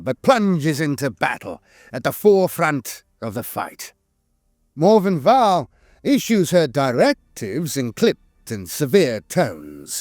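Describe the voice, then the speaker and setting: Higher-pitched man narrating, solemn and dramatic. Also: no room sound, clean studio sound. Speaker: narrator of a lore channel. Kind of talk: scripted dramatic lore narration.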